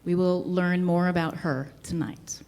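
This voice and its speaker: A woman's voice: lowish voice, fairly even intonation, unhurried, a little mellow. Chatty Boston woman hosting live. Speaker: podcast host. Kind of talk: live podcast show, casual talk. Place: brewery, live audience.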